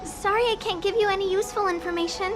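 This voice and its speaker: A woman's voice: very high-pitched, steady tone, quick pace. A woman voicing a timid, bookish young female shop clerk in a video game, hesitant and apologetic. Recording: music under the voice.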